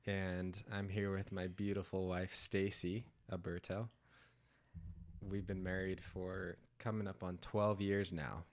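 A man's voice: deep voice, little pitch movement, measured pace. A man in his thirties, congested today, chatting casually as a podcast guest and laughing often. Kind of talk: casual chat.

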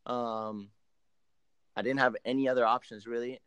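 Smooth, dry-sounding young Argentine-born man. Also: mid-range voice, steady tone, moderate pace, fairly bright tone, no echo, muffled recording. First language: Spanish. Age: late twenties. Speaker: professional soccer player, podcast guest. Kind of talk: casual interview storytelling.

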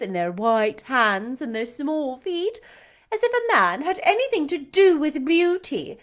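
A woman's voice: high-pitched, pitched above the performer's own voice. A woman playing a haughty, imperious young lady. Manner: imperious, scornful, showy. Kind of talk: audiobook character reading.

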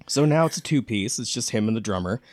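A man's voice: fairly low pitch, expressive, fast, darkish tone, no room sound, clean studio sound. An American man hosting a podcast, casually promoting events and chatting with guests. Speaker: podcast host.

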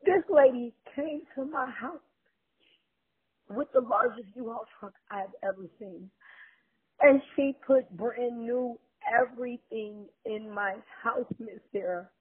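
A woman's voice: a little high, takes it slowly, breathy edge. A woman crying as she leaves a grateful thank-you message, speaking in a tearful, heartfelt way. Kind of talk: emotional recorded voice message. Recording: tinny phone-line recording.

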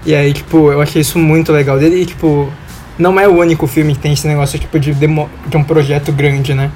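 A man's voice: on the high side, flat delivery, quick pace, a little mellow, a little hiss or hum. A young man with a Brazilian accent chatting casually on a podcast in a rambling, slangy way. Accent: Brazilian Portuguese. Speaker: podcast panelist. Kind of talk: casual chat.

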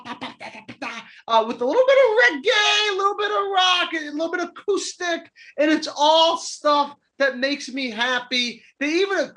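A man's voice: very high voice, pushed and bright. A young man co-hosting a podcast, rambling and stammering through casual comedic chat with frequent laughs. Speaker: podcast co-host.

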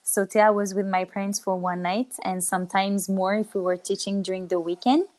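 French woman, dance teacher, steady explainer, dry close sound on a video call. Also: medium pitch, normal speed, no room sound. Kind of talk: casual interview chat.

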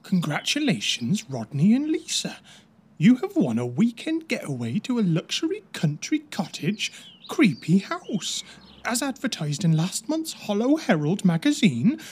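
An actor playing a sweet, excitable young male raccoon in an audio drama, with a hesitant, stammering manner. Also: high-pitched, a little slow, slightly rough, exaggerated voice. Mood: surprised, eager.

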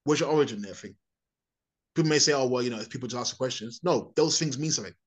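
A man's voice: mid-range voice, rapid-fire. A man from England, giving a rambling, opinionated monologue on a podcast, often restarting and repeating his words.

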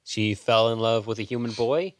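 A man's voice: lowish voice, normal speed, no echo, clean studio sound. A man co-hosting a podcast in casual film discussion. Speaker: podcast host.

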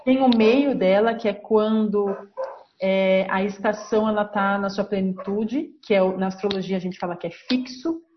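A woman's voice: medium pitch, normal speed, muffled recording, noisy background. A woman with a Brazilian Portuguese accent, an astrologer hosting a podcast, explaining her subject step by step in a teaching manner. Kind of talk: podcast lesson.

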